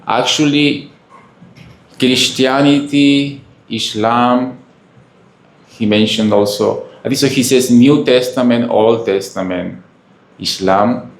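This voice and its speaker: A man from Peru with a Peruvian Spanish accent, a native Spanish speaker, giving a halting spiritual lecture full of fillers and questions to the audience. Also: mid-range voice, gravelly. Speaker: monk giving a talk. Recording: echoey room.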